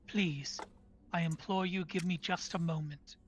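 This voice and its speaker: A player doing a hoarse male peacemaker, formal and imploring, unhurried, for a tabletop game. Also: medium pitch, fairly even intonation, slight background noise, lightly acted. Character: male diplomat adventurer pleading for peace.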